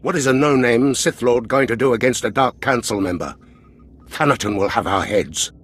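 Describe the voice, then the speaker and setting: An actor playing a cautious, sceptical Imperial officer in a video game, dismissive in manner. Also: male voice, mid-range voice, normal speed, fairly bright tone, slightly rough.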